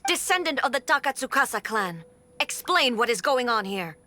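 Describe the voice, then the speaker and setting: A woman's voice: fairly high pitch, very animated, normal speed. An actress playing a stern, commanding female warrior ruler in a video game, speaking formally and imperiously. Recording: loud game music behind.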